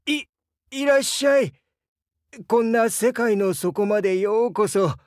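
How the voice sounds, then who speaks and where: A man playing a gentle, bashful crocodile diving instructor in a Japanese game, earnest and hesitant, easily flustered. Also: high voice, a little slow, dry recording, studio quality. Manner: soft-spoken, hesitant, earnest, stammers when flustered. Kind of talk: Japanese game character voice lines.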